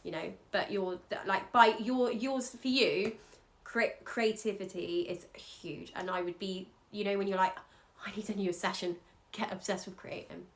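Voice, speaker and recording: A woman's voice: mid-range voice, steady tone, normal speed, few pauses. A Scottish woman, an astrologer, giving an earnest, encouraging chart reading in loose conversational speech.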